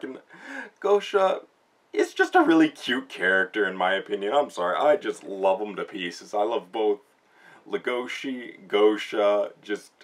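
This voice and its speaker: A man giving a casual, rambling review in a chatty vlog style, speaking fondly and enthusiastically about a story. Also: on the low side. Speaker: book and manga reviewer.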